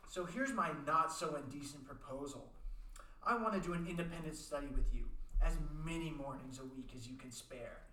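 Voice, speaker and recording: A man's voice: fairly high pitch, moderate pace, bright, forceful tone, slight background noise. A man as an earnest teenage boy, distant and roomy. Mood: insistent, pleading. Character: earnest, pushy teenage boy, musical dreamer. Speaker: amateur radio play actor. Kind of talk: amateur radio play reading.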